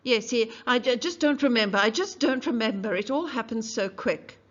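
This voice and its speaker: A woman's voice: lively intonation, normal speed. A woman voicing a frightened, defiant young witness, stammering and defensive. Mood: agitated. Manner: shrill, stammering, defensive outbursts. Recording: dull lo-fi recording.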